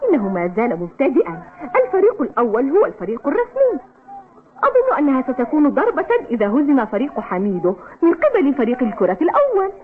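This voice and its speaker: An actress playing an opinionated, expressive schoolgirl in an Arabic cartoon dub. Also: sits high, fast, slight background noise. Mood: skeptical. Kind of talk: dubbed cartoon acting in formal Arabic. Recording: tinny old TV dub with music.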